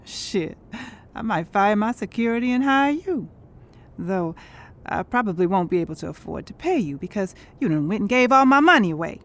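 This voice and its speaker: Actress as a sassy, sharp-tongued woman, very expressive. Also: medium pitch, moderate pace, lightly acted. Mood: sardonic, teasing. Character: sharp-tongued, indignant, sardonic woman, singer Lorraine. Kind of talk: naturalistic audio drama acting.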